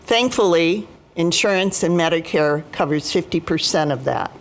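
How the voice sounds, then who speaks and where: An American woman in her seventies giving prepared personal testimony as a speaker, in a formal, deliberate and emphatic manner. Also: fairly low pitch. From United States, Midwest. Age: 70s. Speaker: union retiree chapter president, guest speaker.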